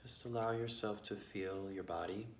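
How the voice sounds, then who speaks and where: A man leading a guided meditation as a teacher, speaking gently and slowly with long pauses. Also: fairly low pitch. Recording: echoey room with faint background noise.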